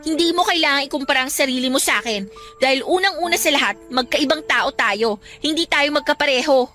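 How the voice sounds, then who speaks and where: A woman's voice: sits high, brisk, pushed and bright. A woman playing an earnest, studious young best friend, admonishing in a radio drama. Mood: hurt, admonishing. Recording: music bed under the voice.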